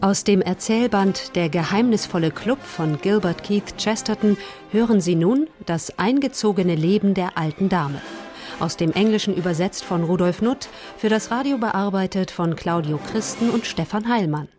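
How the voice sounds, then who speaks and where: A woman's voice: mid-range voice, moderate pace. A woman announcing on radio, reading scripted titles and credits. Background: music.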